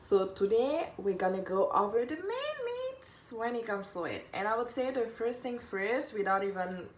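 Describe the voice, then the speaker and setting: A woman's voice: on the high side, sing-song, moderate pace. A woman from there, giving an earnest, informal live talk as a personal stylist, rephrasing and repeating for emphasis. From Cameroon. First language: French. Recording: echoey room with background noise.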